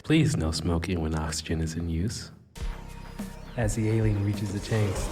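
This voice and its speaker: A low-pitched, wry cyberpunk operative acted by a man narrates his own exploits in an audio drama. He is deadpan yet theatrical, with a long roomy reverb on the voice and music underneath. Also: lightly acted.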